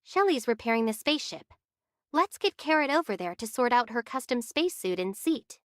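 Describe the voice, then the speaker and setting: Composed young game heroine. Practical, slightly high, no room sound. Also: female voice, very animated, a little fast, dry recording, studio quality. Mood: composed, businesslike. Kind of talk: English anime game voice acting.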